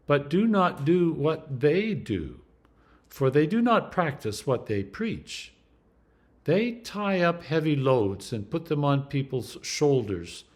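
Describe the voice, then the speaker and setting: Man, Anglican priest, scripture reading, unhurried, lively rising and falling pitch. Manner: slow, deliberate, emphatic reading.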